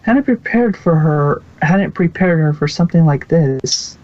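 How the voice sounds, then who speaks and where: A narrator reading fan fiction aloud in a halting way, stumbling now and then over the text. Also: mid-range voice, animated, a little mellow. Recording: dull lo-fi recording.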